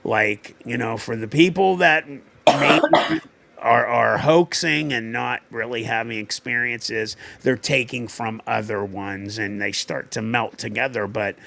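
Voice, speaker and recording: A man hosting a casual livestream, talking haltingly and searching for words as he goes. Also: medium pitch, vocal fry, a bit bright. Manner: halting, full of fillers and restarts while looking things up. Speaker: host.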